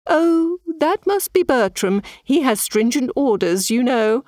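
A woman's voice: expressive, unhurried, a little mellow, no room sound, studio quality. A woman doing a gushing, flighty female medium. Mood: flustered. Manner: high, sing-song, cooing endearments.

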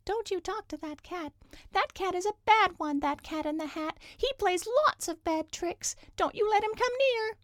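Small anxious creature, very high, shrill, scolding, urgent, dry storybook recording. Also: female voice, normal speed, no echo. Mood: alarmed scolding. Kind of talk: children's storybook character reading.

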